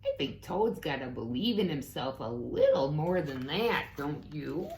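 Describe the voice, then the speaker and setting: A woman reading a story aloud to young children in an unhurried, expressive way, with casual questioning asides. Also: low voice, slight background noise. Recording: roomy echo.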